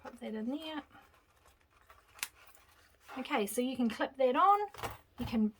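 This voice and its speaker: A woman's voice: on the high side, a little hiss or hum. A woman hosting a casual craft tutorial, chatting and thinking aloud as she glues and assembles at her table. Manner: chatty, relaxed, thinking aloud while working. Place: craft table indoors, hands seen from overhead camera.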